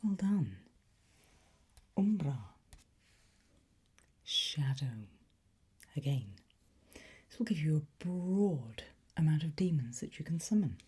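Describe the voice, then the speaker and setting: A woman doing an ASMR teacher roleplay in a breathy whisper, giving slow, deliberate, coaxing instruction with pauses. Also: deep voice, animated, dark tone. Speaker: ASMR performer playing a language teacher.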